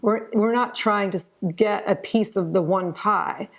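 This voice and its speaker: A woman talks as an interview guest in an earnest, conversational way. Her voice has a noticeable creak at a medium pitch for a woman. She leans on key words and repeats them for emphasis. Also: measured pace.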